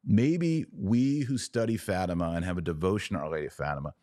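A man's voice: deep voice, a little fast, dark tone, no echo, studio quality. A man hosting a podcast, giving unscripted religious commentary in an emphatic way, repeating phrases for weight.

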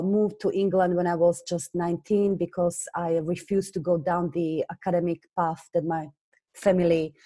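A woman with a Slovak accent, a guest business coach talking earnestly in an interview, often pausing on fillers. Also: lowish voice, dark tone. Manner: earnest, hesitant with many fillers. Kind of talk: conversational interview.

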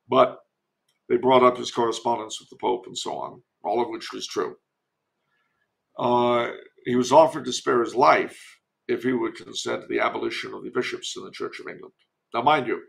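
A man's voice: medium pitch, gravelly, a bit bright. An older man speaking as a podcast guest in a discursive, emphatic storytelling manner, mixing commentary with anecdotes.

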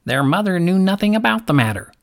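Storybook lark , played by a woman: cocky male bird, exultant and emphatic, raised animated pitch. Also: quick pace. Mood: scolding. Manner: singsong, emphatic, proclaiming. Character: exultant, saucy male lark, confident and scolding. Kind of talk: storybook character voice.

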